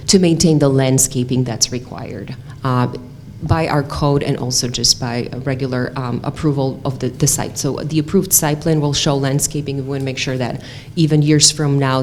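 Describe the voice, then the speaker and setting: Very low-pitched woman, city planner, explains conditions plainly in a council room. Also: a little hiss or hum. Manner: clear, procedural, explanatory. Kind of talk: staff presentation. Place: council chamber.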